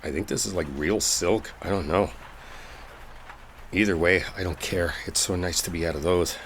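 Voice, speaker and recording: A man's voice: low voice, fairly even intonation, moderate pace, fairly bright tone, a little hiss or hum. A man acting a brash, exasperated young coworker in an intimate roleplay audio, speaking casually with sarcasm and swearing. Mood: relieved, impressed.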